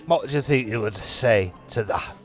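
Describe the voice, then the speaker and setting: A man's voice: mid-range voice, faint music behind, lightly acted. A man playing a swaggering, eccentric pirate posing as a farmer in a radio comedy, theatrical and mockingly polite. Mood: smug, sly. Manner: theatrical, drawn-out, mock-polite. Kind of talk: radio comedy drama parody.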